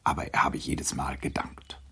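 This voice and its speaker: An actor as a measured, gently ironic narrator of a literary radio drama. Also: male voice, whispered, natural voice.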